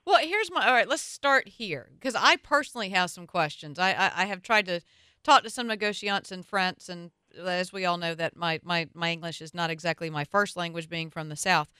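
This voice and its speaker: A woman's voice: fairly low pitch, normal speed, bright, forceful tone, clean tone, no echo, studio quality. A woman hosting a radio talk show, asking questions in a playful, self-deprecating way. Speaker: radio host. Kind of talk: casual radio interview.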